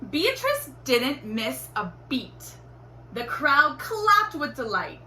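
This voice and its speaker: A woman reading a children's storybook aloud in an expressive, deliberate teacherly way. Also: on the high side. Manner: animated, deliberate storytelling with acted exclamations. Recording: roomy echo, faint background noise.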